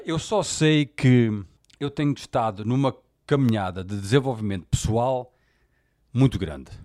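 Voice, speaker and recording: A man's voice: medium pitch, dark tone, no room sound, studio quality. A Portuguese man in his forties with a European Portuguese accent, hosting a candid, colloquial monologue podcast with frequent fillers. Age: 40s. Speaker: podcast host.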